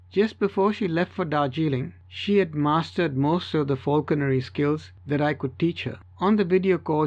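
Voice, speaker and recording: A man's voice: fairly high pitch, gravelly, lo-fi sound. A man reading a scripted first-person story as an audiobook narrator.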